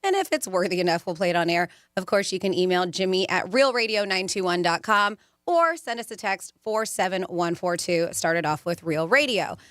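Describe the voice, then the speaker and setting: A woman's voice: on the low side, expressive, normal speed, hardly any pauses, fairly bright tone, no room sound. Chatty woman hosting morning radio, dry studio sound. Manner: chatty, bantering. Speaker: radio host. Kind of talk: casual morning radio banter. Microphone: close studio mic.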